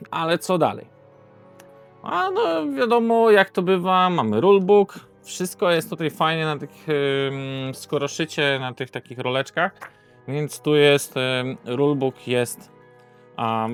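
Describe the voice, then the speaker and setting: A man hosting an unscripted board game video, hesitant and often correcting himself. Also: mid-range voice. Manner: hesitant, self-correcting, throat-clearing. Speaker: board game reviewer and host.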